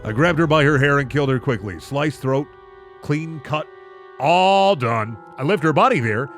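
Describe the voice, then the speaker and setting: A smug, world-weary killer acted out by a man narrates murders like a pulp horror story. The delivery is deadpan yet dramatic, with swinging pitch and faint music behind. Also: normal speed.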